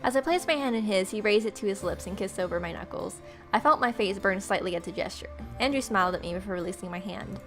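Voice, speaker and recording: Woman narrating a visual novel, medium pitch. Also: fast talker, faint music behind. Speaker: gaming video host reading a visual novel. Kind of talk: story narration with playful asides.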